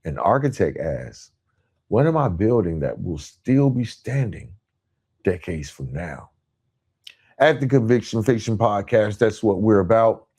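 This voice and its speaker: A man with a very low, dark and mellow voice hosts a motivational podcast. He speaks earnestly and emphatically. His phrases often come in parallel, repeated runs that press each point home. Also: sing-song, measured pace, slightly rough. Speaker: podcast host. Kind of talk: motivational monologue.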